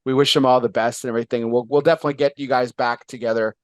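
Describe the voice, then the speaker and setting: Excitable rambling male podcast host. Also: mid-range voice, very fast, clear, resonant tone, no echo, clean studio sound. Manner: excitable, rambling, many fillers and restarts. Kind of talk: casual interview chat.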